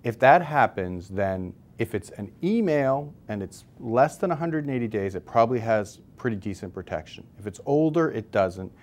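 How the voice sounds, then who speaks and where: A man's voice: mid-range voice, animated, normal speed, few pauses, a little mellow. A man in his forties with an American accent, an expert guest giving conversational explanations with emphasis on key words. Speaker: expert guest, civil liberties advocate. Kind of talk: explanatory interview answers. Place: newsroom studio, seated at table. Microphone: lapel.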